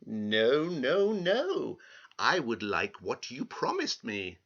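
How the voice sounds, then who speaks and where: A man voicing a sly, mischievous, quick-tempered little man from a storybook, in a theatrical, emphatic manner. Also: medium pitch, wildly swooping pitch, a bit bright, husky, muffled recording, heavily acted. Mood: sly, bargaining. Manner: theatrical, emphatic drawn-out refusals. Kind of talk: storybook character voice.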